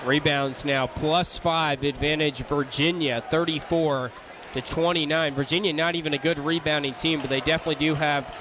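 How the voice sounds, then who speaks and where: High-voiced male basketball color commentator. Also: fairly even intonation, moderate pace, crowd noise, background noise. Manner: explanatory, conversational analysis. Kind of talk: live sports analysis commentary. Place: basketball arena broadcast.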